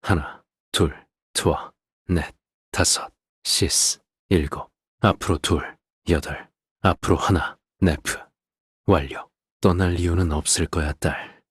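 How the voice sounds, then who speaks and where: Game role: an eerily calm, possessive father; low, very breathy husky voice, lingering pauses. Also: dry recording, studio quality, full character voice. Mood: cold counting, then softly possessive. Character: quiet, possessive, hollow-hearted father of a daughter. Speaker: game character voice. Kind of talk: dramatic game voice acting, slow monologue.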